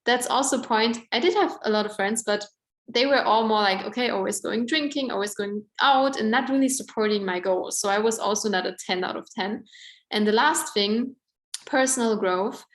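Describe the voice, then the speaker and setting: A woman's voice: on the high side, moderate pace, reverberant. A woman in her twenties with an Austrian German accent, presenting at an online event in a chatty way, full of "like" and "um". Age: 20s. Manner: chatty, many "like" and "um" fillers.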